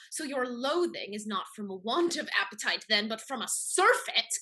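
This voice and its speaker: A woman's voice: a little high, sharp tone, heavily acted, poor audio. A woman playing a scheming, catty society lady in a Restoration comedy, with an arch, pointed, mannered delivery. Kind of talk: theatrical Restoration comedy acting.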